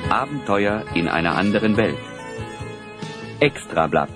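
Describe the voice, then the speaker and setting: A man narrating an adventure radio play as a scripted storyteller with measured diction. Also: on the low side, sing-song, over loud music.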